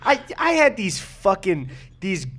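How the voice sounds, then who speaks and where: Higher-pitched American man, casual podcast co-host. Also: wildly swooping pitch, a little slow, fairly bright tone. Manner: profane, opinionated, rambling. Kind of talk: casual comedic chat.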